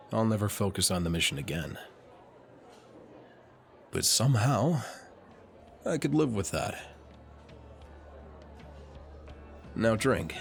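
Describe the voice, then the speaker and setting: A man playing a suave, teasing, flirtatious military officer and alchemist in an intimate roleplay audio, speaking smoothly. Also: low voice. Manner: smooth, unhurried, playfully teasing.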